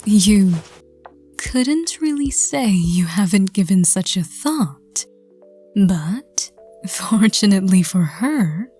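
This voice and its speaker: A woman reading scripted second-person story narration in a soft, gentle, hushed voice. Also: medium pitch, animated, slow pace, faint music behind. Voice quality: soft, breathy. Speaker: narrator and audio roleplay reader.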